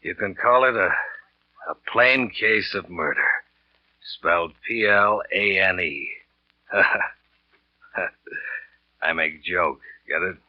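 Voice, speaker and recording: A man's voice: deep voice, slow pace, pauses often, no room sound. An actor playing a bitter, sardonic, world-weary man back from war, in a 1940s radio suspense drama. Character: bitter, jilted young war returnee confessing to murder. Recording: muffled old radio recording with music.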